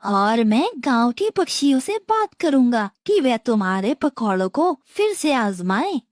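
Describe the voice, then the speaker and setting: Cartoon village bird, woman performer: cheerful helper, high lively voice, dry close recording. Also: measured pace, no echo. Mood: supportive. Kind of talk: cartoon moral story dialogue.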